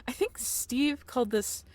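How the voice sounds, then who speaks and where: A woman's voice: high voice, takes it slowly, darkish tone, no echo. A woman playing a friendly, flustered young former college roommate in a romantic audio roleplay, talking in a bashful, hesitant, giggly and apologetic way. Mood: teasing, playful. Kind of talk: romantic audio roleplay, naturalistic one-sided conversation.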